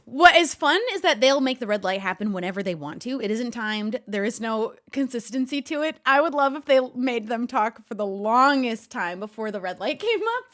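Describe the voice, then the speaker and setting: A woman's voice: on the high side, normal speed, hardly any pauses. A woman streamer giving casual reaction commentary, laughing often as she talks. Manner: giggly, animated, talks to a pet.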